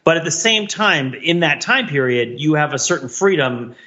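A man's voice: fairly high pitch, moderate pace, bright, forceful tone, muffled recording. A middle-aged man co-hosting a casual podcast, explaining things at length in an analytical, rambling, mock-academic way. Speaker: podcast co-host.

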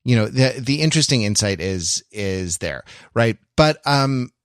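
A man hosting a podcast in casual analytical chat, wordy and wry, halting and circling back as he thinks. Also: medium pitch, lively intonation, dry recording, clean studio sound. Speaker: podcast host.

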